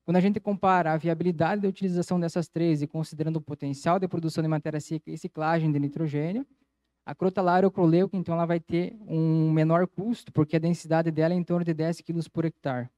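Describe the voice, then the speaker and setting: Male lecturer, slightly high mellow voice, methodical explainer presenting at a conference. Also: little pitch movement, fast, no echo. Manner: explanatory, methodical, frequent "então" connectives. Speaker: agronomy lecturer. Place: auditorium stage, indoors. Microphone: handheld microphone.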